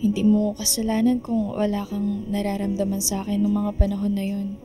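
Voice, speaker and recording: Woman acting a remorseful young woman, mellow, fairly even voice. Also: mid-range voice, brisk, soft background music, lightly acted. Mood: regretful, forgiving. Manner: apologetic, earnest. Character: remorseful, self-sacrificing young woman in love triangle. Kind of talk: radio drama dialogue.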